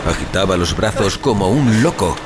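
A man's voice: sits low, lively intonation, normal speed. A man narrating a scripted horror story for an audio drama in a dramatic style. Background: loud music.

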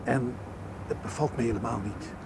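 A man's voice: mid-range voice, steady tone, normal speed, creaky, close to an everyday voice. A man acting in a radio drama as a cautious, uneasy and reluctant companion. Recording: noisy radio play with vehicle ambience.

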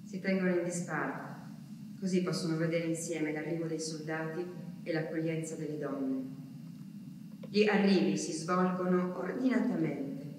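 An actress playing an anguished woman who waits for her deported husband, delivering a halting theatrical diary monologue that moves between hushed words and cries. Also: low voice, steady tone, close to an everyday voice. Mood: subdued, watchful. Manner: halting, repeating words, swinging from hushed to cried out. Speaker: stage actress performing a monologue. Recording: echoey hall from far off, noisy.

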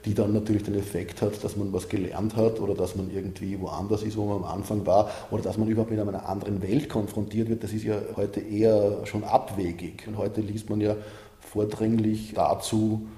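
Sehr dunkle, knarrende Männerstimme. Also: male voice, fairly low pitch, creaky, dark tone. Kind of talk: spontaneous interview talk. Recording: roomy, reverberant room.

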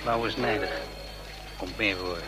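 An actor playing a wary secret agent keeping watch in a radio play, terse and dry. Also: male voice, lowish voice, fairly even intonation, a little slow, noisy background, close to an everyday voice.

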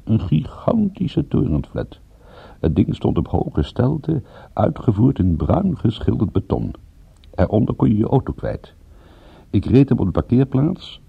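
An actor playing a wry, laconic private detective in a radio play, dry and ironic in dialogue and narration. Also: male voice, lowish voice, vocal fry, husky, narrow, tinny audio, exaggerated voice. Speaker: lead actor and first-person narrator. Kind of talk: Dutch radio drama acting and narration.

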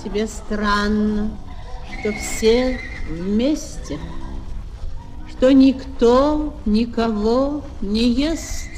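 Grave wise lady, real slow and incantatory, old kids record with music. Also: fairly high pitch, a little mellow, rough recording. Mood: calm, knowing. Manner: measured, solemn, incantatory. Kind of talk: poetic children's audio play acting. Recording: old flexi-disc record, music behind.

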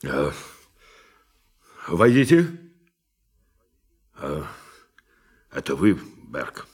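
An actor in a Russian radio play playing a laconic, drily sympathetic male colleague, offhand and unhurried, with a gravelly, husky voice. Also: extremely low pitch, huge pitch swings, heavily acted. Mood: wry, offhand.

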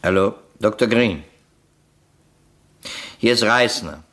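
Low-voiced, dryly sceptical police detective. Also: male voice, animated, takes it slowly, bright, forceful tone, slight background noise, exaggerated voice. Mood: businesslike. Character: male police detective, matter-of-fact, persistent, dryly sceptical. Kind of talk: German crime radio play acting.

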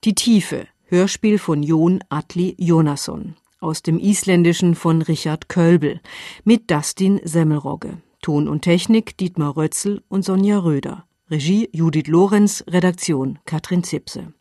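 A woman's voice: fairly low pitch, measured pace, mellow, no echo, studio quality. A woman radio announcer reading scripted closing credits for a radio drama.

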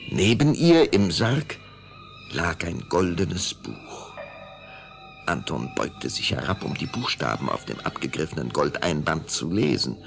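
A man narrating a children's audio drama in a measured, suspenseful storytelling style. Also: low-pitched, sing-song. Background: clear background music.

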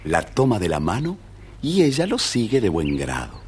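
A man with an Argentine accent and a husky voice, narrating a scripted story for children. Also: lowish voice, huge pitch swings, normal speed, a little mellow.

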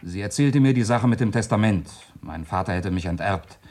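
A shady disinherited son in a radio crime play, low rough voice, defensive, calculating. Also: male voice, lively intonation, normal speed, a little mellow. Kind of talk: German radio crime drama acting.

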